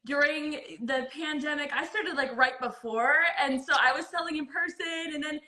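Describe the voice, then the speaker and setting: Bubbly high bright-voiced woman host. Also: normal speed. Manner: bubbly, laughs often, says "like" a lot. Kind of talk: casual live-stream chat.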